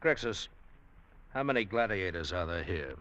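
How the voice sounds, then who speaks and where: A man's voice: low-pitched, sing-song, normal speed, a bit bright. An actor playing a tough, wry American man in a 1940s radio drama, laconic and dry as he tells his own adventure. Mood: cool, wary. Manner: hard-boiled, laconic, dry. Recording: old radio recording with music underneath.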